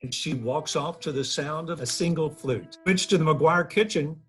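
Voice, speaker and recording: A man's voice: on the high side, normal speed, loud music behind the voice. A man reading and moderating at a table read over a faintly noisy video call. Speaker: script narrator and moderator.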